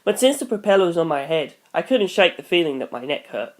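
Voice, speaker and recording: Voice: medium pitch, very animated, fast talker. A reader narrating a first-person action story in a storytelling style. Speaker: audiobook reader.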